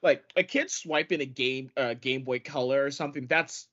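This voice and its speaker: A man's voice: mid-range voice, normal speed, a bit bright. A man co-hosting a podcast in casual banter, sarcastic and swearing freely. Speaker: podcast co-host. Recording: dull lo-fi podcast audio.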